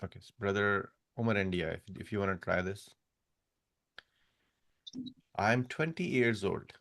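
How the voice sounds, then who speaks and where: A man's voice: lowish voice, a little slow, creaky, fairly bright tone, no room sound. Patient man teaching over a call. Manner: patient, correcting students step by step. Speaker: Arabic teacher. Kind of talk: online class instruction.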